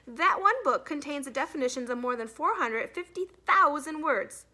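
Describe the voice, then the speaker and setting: A woman's voice: high-pitched, lively intonation, normal speed, pushed and bright, voice pushed up. A woman voicing a stern, authoritative female schoolteacher in a storybook, lecturing with emphasis. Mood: pointed praise.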